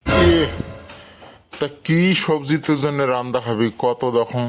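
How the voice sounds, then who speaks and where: A man's voice: mid-range voice, a little slow, over music, close to an everyday voice. A man voicing a pleading, exasperated village father in a comedy cartoon, with a North Bengal accent. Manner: coaxing, exasperated.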